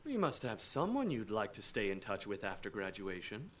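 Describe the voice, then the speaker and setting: Earnest lecturing school counselor, really deep voice, game dialogue, some noise behind. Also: female voice, sing-song, moderate pace. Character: patient, preachy adult high school counselor. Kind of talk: visual novel game voice acting.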